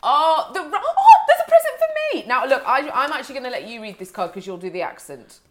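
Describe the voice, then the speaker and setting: A British woman hosting a podcast, chatting casually and comically with animated, gossipy storytelling and theatrical exclamations. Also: high voice, a little fast. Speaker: podcast host. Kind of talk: casual comic chat between friends.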